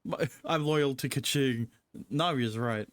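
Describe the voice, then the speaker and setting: A man livestreams a game with casual commentary and bits of dialogue read out. His voice is medium in pitch and recorded close and dry. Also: a little slow, dry recording. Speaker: game streamer.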